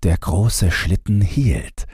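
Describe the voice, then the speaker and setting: Male audiobook narrator, low mellow voice, unhurried, calm, gentle, bedtime storytelling. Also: slightly rough, no echo, clean studio sound. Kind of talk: bedtime fairy-tale narration, read from script.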